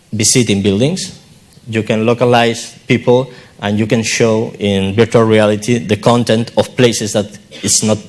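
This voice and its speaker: A man presenting research projects at a conference, speaking English haltingly in short, simple phrases. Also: fairly low pitch, darkish tone. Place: conference hall.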